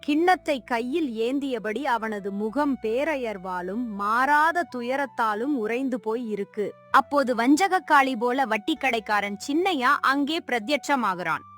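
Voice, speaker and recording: A woman's voice: high-pitched, animated, measured pace, fairly bright tone, soft background music. A woman narrator telling a moral story in a dramatic scripted style.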